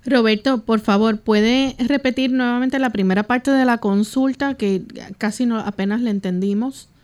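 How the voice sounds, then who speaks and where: A woman's voice: on the high side, darkish tone. A woman hosting a radio call-in show, politely introducing callers and asking them to repeat. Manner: polite, brisk moderating. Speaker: radio host.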